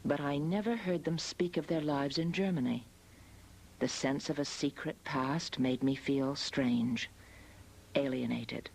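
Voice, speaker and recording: A woman from the United States narrating a scripted personal documentary as a photographer, in a measured, reflective manner. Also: deep voice, steady tone, gravelly, a little mellow, breathy edge. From United States, after early childhood in Australia. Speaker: photographer narrating her family history.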